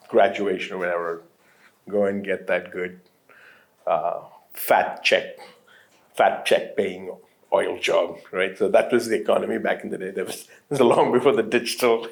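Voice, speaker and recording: A low-pitched man from Qatar in his late thirties talks through an interview in a loose, rambling way. He circles back on his sentences, drops in fillers and laughs easily as he goes. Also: creaky. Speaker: entrepreneur guest. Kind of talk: casual interview conversation.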